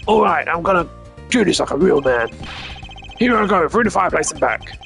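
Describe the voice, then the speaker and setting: A man plays a bumbling police detective in a video game. He is excitable and eager to please, deferring to his boss like a loyal underling, pitched a little up, with music behind him. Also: fairly quick, creaky. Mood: anxious excited. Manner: excitable and deferential, peppering talk with "sir". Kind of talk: hobby voice acting of a video game.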